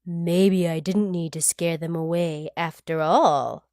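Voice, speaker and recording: A woman reading a children's picture book aloud, with expressive, unhurried delivery. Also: fairly low pitch, no room sound, clean studio sound. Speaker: storybook reader.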